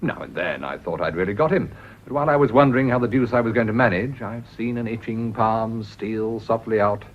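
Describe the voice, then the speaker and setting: A man's voice: on the low side, brisk, natural voice. An actor with an English accent playing a ruthless, cynical Edwardian businessman in a radio drama, blunt and sardonic. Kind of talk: period radio drama acting. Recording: dull, muffled old radio recording.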